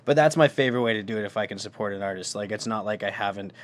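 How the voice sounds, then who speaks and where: A young man in his twenties chats easily as a guest musician. His voice is a touch low, and he talks in a relaxed manner with a ready laugh. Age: 20s. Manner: easygoing, chatty, quick to laugh. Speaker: band member, guest. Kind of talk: casual interview chat.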